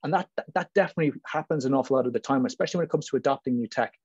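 A man's voice: medium pitch, rapid-fire, darkish tone, husky. A man with an Irish accent talking informally and chattily as a panelist on a video call. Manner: talkative, hedging with frequent "you know what I mean". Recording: muffled, roomy video call audio.

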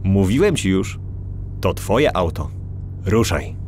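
An actor playing a helpful, proud but cautious animal inventor in a children's audio drama. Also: male voice, sits low, sing-song, slow pace, very noisy, exaggerated voice. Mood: calm, proud.

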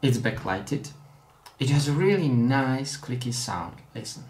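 A young man with a Czech accent talks slowly and carefully in plain, earnest English. He is picked up by the camera mic across his desk in a small home room, so the voice comes with an echoey ring and a faint hum. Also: medium pitch, lively intonation. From Czech Republic. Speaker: hobbyist vlogger. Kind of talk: casual tech demo.

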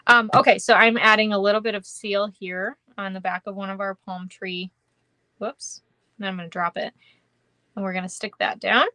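A woman's voice: medium pitch, fairly even intonation, normal speed, bright, forceful tone, no room sound, poor audio. Chatty, giggly Minnesotan woman crafter. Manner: chatty, giggly, lots of ums and kindas. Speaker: card-making instructor. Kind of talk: live stream craft demo, chatting with viewers. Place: indoor craft room.

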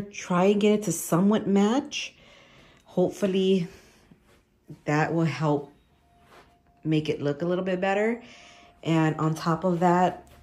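Low-voiced woman, casual vlog narration. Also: dark tone. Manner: chatty, conversational, confiding. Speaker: home and cleaning vlogger.

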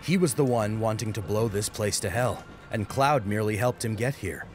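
Casting: male audiobook narrator, low and lively, dramatic scripted delivery over a music bed. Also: normal speed.

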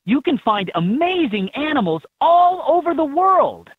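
A man's voice: extremely high pitch, big pitch swings, a little slow, dry recording, exaggerated voice. A man voicing an upbeat helper who gives scripted instructions in a children's educational game. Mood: upbeat, instructive. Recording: tinny, band-limited handheld game audio clips.

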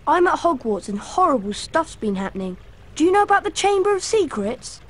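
Very high, earnest schoolboy. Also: female voice, big pitch swings, fairly quick. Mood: curious. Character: earnest, curious young schoolboy hero. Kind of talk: video game cutscene acting.

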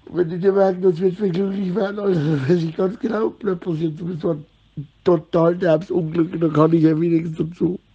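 A man's voice: high-pitched, steady tone, brisk, vocal fry, mellow, slightly rough. A middle-aged man, delivering a rambling, repetitive informal monologue in slangy language. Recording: dull lo-fi recording.

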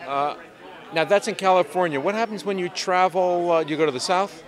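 A man interviewing an author at a convention, asking his questions in a halting way with many fillers. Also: high-pitched, a faint crowd. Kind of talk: on-the-spot interview. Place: busy convention hall, indoors.

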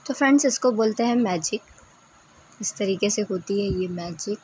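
A woman's voice: medium pitch, a little hiss or hum, rough recording. A woman narrating a casual travel vlog in a chatty way, speaking directly to her viewers. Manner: chatty, addresses viewers as friends. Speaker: travel vlogger.

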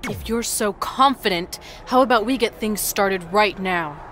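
A woman's voice: medium pitch, unhurried, very noisy, lightly acted. An actress playing a determined woman wrestling champion in a video game, earnest and assertive. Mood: confrontational. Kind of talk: scripted video game cutscene acting.

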